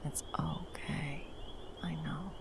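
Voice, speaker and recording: A woman's voice: low-pitched, fairly even intonation, takes it slowly, gravelly, background noise, heavily acted. A woman playing a battle-hardened monster hunter, violent yet a tender protective lover, in an intimate ASMR roleplay. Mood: soothing, worried. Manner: intimate, sweary, teasing and soothing endearments. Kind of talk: ASMR roleplay, intimate scripted monologue addressed to the listener.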